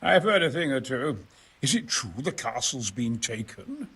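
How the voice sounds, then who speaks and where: An actor playing a genial, self-important exiled engineer in a video game, talking in a wry, hesitant, gentlemanly way. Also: male voice, mid-range voice, big pitch swings, moderate pace, slightly rough, heavily acted. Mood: curious. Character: genial, erudite exiled male engineer, self-important about his opus. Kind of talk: video game cutscene acting.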